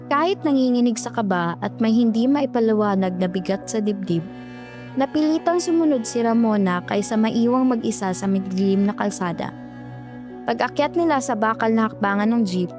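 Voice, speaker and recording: A woman's voice: on the high side, expressive, moderate pace, over music. A woman with a Filipino accent reading scripted, dramatic horror narration.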